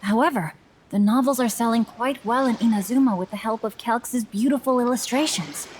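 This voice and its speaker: A woman voicing a refined, bookish young gentleman in a video game, speaking politely and eloquently. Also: fairly high pitch, brisk, slight background noise, lightly acted. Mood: earnest. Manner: polite, eloquent, formal phrasing. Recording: game music behind the voice.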